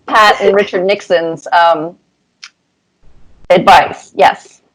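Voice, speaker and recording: A woman's voice: lowish voice, fairly even intonation, slow, low-quality recording. Thoughtful middle-aged woman being interviewed. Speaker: interview guest, cartoonist. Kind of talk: conversational interview answers.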